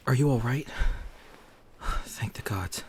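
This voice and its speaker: A man playing a courteous, protective centuries-old vampire lord in an ASMR roleplay, speaking formally and gently to the listener with polite apology. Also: medium pitch, slow, very noisy, natural voice. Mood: concerned.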